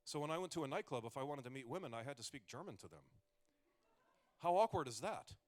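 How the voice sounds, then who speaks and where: A man's voice: medium pitch, lively intonation, fast talker. A man in his forties, a comedian giving a casual talk with joking asides and rambling restarts. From United States. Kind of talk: conversational stage talk.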